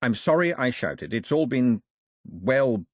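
A man voicing a serious, proud young island chief with a measured, blunt way of speaking. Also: medium pitch, big pitch swings, dry recording, studio quality. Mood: apologetic.

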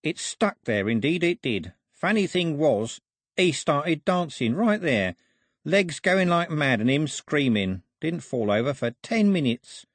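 Man voicing a high, husky, jittery henchman. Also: big pitch swings, a little slow, no room sound, clean studio sound. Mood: gleefully creepy. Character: sniggering, nervous male henchman, nasty and superstitious.

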